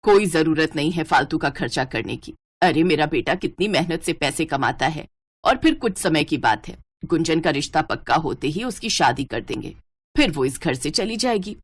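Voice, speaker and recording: Woman doing a stern, lower-voiced old mother-in-law. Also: expressive, normal speed, breathy edge. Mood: dismissive. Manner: scolding, commanding.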